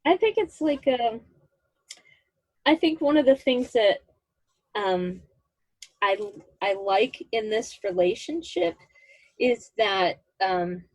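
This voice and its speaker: Casting: woman, middle-aged, husky voice, halting casual talker discussing creative work, dry sound. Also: a little high, sing-song, a little mellow, no room sound, poor audio. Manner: halting, thinking aloud. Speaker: voice actor in a serialized audio drama. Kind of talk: casual video-call discussion of characters. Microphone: video call.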